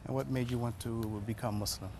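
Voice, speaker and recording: A man's voice: mid-range voice, fairly even intonation, brisk, mellow. Male host for a field interview, mid-range voice, street and vehicle noise behind. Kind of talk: on-location interview. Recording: clear outdoor street and traffic noise. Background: street ambience and vehicles.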